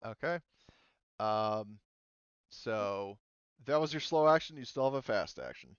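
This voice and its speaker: Clear-voiced male game master, slightly muffled mic. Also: fairly low pitch, animated, a little slow, no echo. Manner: thinks aloud while looking up rules. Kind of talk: running a tabletop roleplaying game, casual explanation.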